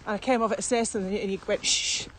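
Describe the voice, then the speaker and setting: A woman's voice: medium pitch, rapid-fire. A woman chatting casually as a podcast co-host. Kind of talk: casual conversational podcast chat. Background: steady ambient noise.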